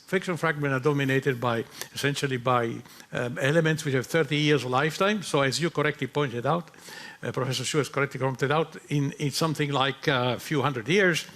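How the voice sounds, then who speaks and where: Halting man explaining physics on a panel. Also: on the high side, a bit bright. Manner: halting, repeats words, non-native phrasing. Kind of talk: technical panel answer. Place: conference hall.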